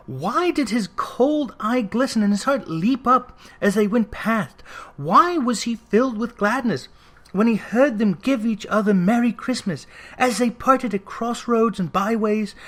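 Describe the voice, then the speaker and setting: Audiobook narrator, middle pitch, reading an old story out loud with lots of expression.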